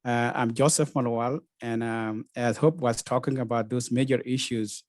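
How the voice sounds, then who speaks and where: A man from South Sudan, a researcher speaking on a panel, halting with frequent uhs and repeated words. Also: medium pitch, fairly even intonation, no echo, studio quality. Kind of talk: webinar panel talk.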